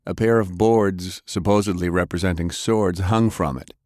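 A man's voice: deep voice, steady tone, moderate pace, darkish tone, dry recording, studio quality. A man reading an adventure novel aloud as scripted audiobook narration.

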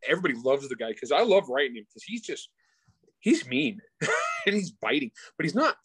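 A man's voice: on the high side, big pitch swings, fairly quick, vocal fry, fairly bright tone, breathy edge, no echo. A man speaking as a guest author in a casual interview, informal and slangy, often restarting his sentences. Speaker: guest author discussing own book.

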